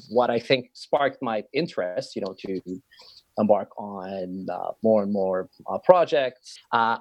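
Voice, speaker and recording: A man's voice: on the low side, a little mellow, no echo. A man who speaks English as a second language, answering as a researcher and educator in a podcast, with many uh fillers. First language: not English. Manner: halting, frequent uh fillers.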